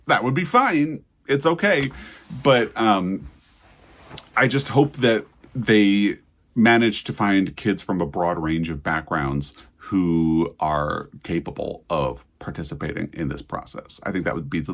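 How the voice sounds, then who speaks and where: A man with a low-pitched voice speaks as the host of a book vlog. He mixes casual commentary with passages read aloud, and he sounds enthusiastic, often repeating himself for emphasis.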